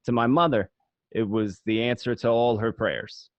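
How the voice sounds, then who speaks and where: A man's voice: medium pitch, steady tone, normal speed, no echo, dull audio, close to an everyday voice. A man playing a gangster who looks back on his youth, narrating in a reflective, matter-of-fact way for an amateur radio drama. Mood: nostalgic, proud.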